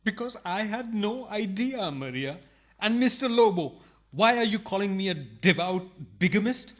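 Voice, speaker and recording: A man's voice: high-pitched, animated, normal speed. A man playing an aggrieved married burglar in a farcical radio play, protesting in a theatrical, mock-humble way. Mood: defensive. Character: aggrieved married burglar, indignant yet oddly principled and polite.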